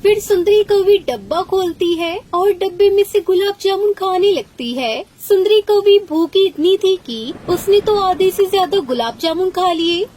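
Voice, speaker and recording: Very high-pitched woman narrating a story. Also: moderate pace, hardly any pauses, a little mellow, a little hiss or hum. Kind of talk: scripted children's story narration.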